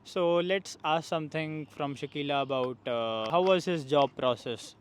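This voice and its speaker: A man's voice: on the high side, moderate pace, a little hiss or hum. A young man hosting a casual talk with a fellow student, friendly and asking questions, sometimes repeating himself. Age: young adult. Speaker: host, international student. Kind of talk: casual conversation. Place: outdoors in a city, traffic nearby.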